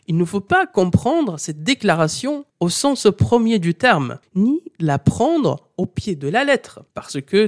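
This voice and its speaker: A language teacher giving a didactic talk, speaking straight to the learner and insisting on key points by repeating them. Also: medium pitch. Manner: speaks directly to the listener as "tu". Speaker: Arabic teacher.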